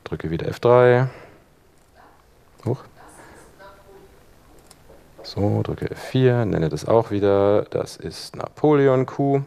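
A man teaching a live software workshop, explaining step by step in an informal spoken style. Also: lowish voice, expressive, slow, creaky, long pauses, clear, resonant tone. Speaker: workshop instructor. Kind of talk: live software demonstration to an audience.

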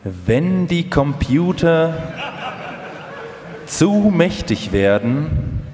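An actor playing an elderly, reflective German inventor in a documentary radio feature, speaking slowly and deliberately. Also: male voice, a little high, big pitch swings, a little mellow, a little hiss or hum, heavily acted. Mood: reflective, measured. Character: elderly male German computer pioneer, reflective inventor and painter. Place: large hall, live performance. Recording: live hall with long reverb.

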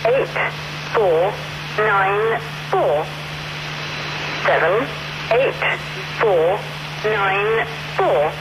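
A woman's voice: mid-range voice, lively intonation, very slow, long pauses. A woman announcer on shortwave radio, mechanically reading out single digits in a clipped, repetitive way. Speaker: numbers station announcer. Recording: noisy shortwave radio archive recording, reverberant.

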